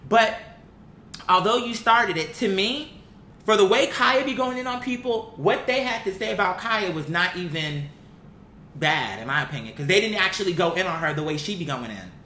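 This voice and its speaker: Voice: animated, moderate pace, pushed and bright. A vlogger giving casual, opinionated commentary in a blunt, slangy and emphatic way. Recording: roomy echo, faint rumbling background noise.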